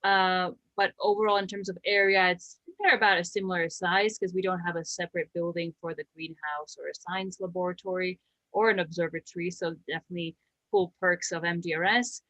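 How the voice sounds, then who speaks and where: A woman, presenting slides about her research in a brisk, explanatory way with a light joke here and there. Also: lowish voice, fairly even intonation, fairly bright tone, no echo, poor audio. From Slovakia. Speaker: astrobiologist and habitat director.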